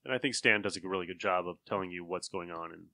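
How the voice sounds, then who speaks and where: Casting: male podcast host, somewhat low pitch, crisp bright edge, conversational delivery, dry recording. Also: fast, no room sound. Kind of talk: casual podcast discussion.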